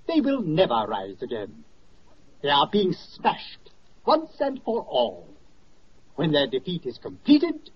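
Dull old radio recording, haughty nazi propaganda broadcaster, slow n pompous, 1940s drama style. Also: male voice, on the high side, slightly rough, exaggerated voice. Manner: pompous, emphatic.

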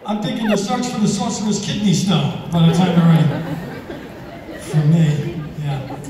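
A man in his sixties doing a stand-up comedy routine on a theater stage, with a deadpan, self-mocking delivery. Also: a little high, normal speed, very noisy. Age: 60s. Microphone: handheld mic, filmed from far in the audience. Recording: echoey hall with crowd, from far off. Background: audience laughter and applause.

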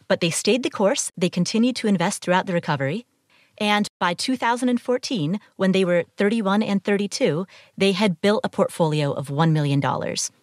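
A woman's voice: mid-range voice, brisk, no room sound, clean studio sound. A woman from Ohio hosting a podcast, reading an intro and asking interview questions. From Ohio, USA. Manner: lively, expressive questioning. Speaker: podcast host.

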